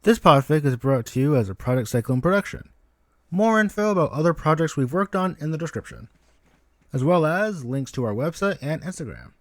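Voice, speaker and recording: A man reading a story aloud as scripted, expressive narration. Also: on the high side, a little fast, dry recording, studio quality. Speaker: podfic narrator, guest reader.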